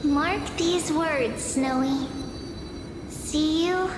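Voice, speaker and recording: A woman voicing a gentle, wistful, childlike girl oracle with soft, tender, drawn-out delivery in a dubbed fantasy game. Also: high voice, noisy background. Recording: long reverb with loud music.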